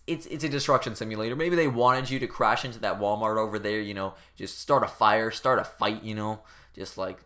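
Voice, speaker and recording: A man's voice: mid-range voice, a bit bright. A man giving a casual comedic rant as a game reviewer, rambling and exasperated, with informal, profane speech.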